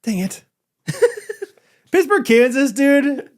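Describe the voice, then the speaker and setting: A man's voice: very high-pitched, big pitch swings, slow. A man co-hosting a podcast, joking and laughing often as he reads an ad. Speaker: podcast co-host. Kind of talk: casual chat with ad reads.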